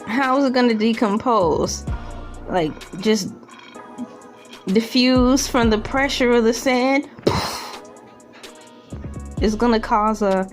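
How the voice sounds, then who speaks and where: A woman reading news aloud as a humorous online creator, dropping in sarcastic, joking asides with theatrical, drawn-out emphasis. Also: a little high. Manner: sarcastic, joking asides between read lines. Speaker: online video creator reacting to a news article. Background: background music.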